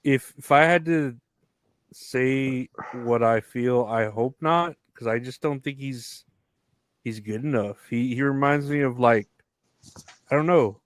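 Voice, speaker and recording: A man's voice: mid-range voice, measured pace, no room sound. Hesitant man with a dry close sound. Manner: hesitant, restarts, opinionated. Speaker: podcast co-host. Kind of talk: casual wrestling chat.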